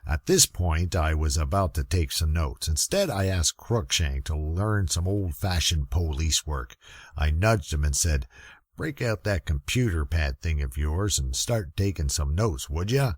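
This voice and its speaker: A gruff, weary police lieutenant played by a man tells a hardboiled radio mystery. His voice is extremely deep and rough with husk, recorded close and dry. He grumbles along in a wry, folksy way. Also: expressive, unhurried, no room sound, heavily acted. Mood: grumbling, probing. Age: middle-aged. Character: gruff, weary old-school male police lieutenant narrating hardboiled detective story. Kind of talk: old time radio murder mystery narration.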